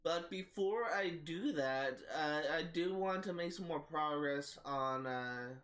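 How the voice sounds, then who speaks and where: A man's voice: on the high side, takes it slowly, a bit bright. A man with a head cold, congested and scratchy-throated, chatting casually through his game stream with many uhs. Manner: rambling, with frequent uhs and coughs. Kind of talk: casual gameplay commentary.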